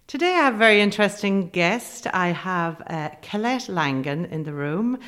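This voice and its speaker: A woman hosting a podcast, interviewing a guest in a relaxed conversational style. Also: lowish voice, normal speed. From Ireland.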